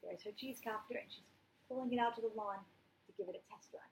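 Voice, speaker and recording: A woman reading a picture book aloud like a teacher, expressive, with chatty explanatory asides for young children. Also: fairly high pitch, fast. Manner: expressive, explains words and story to young listeners. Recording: roomy echo, faint background noise.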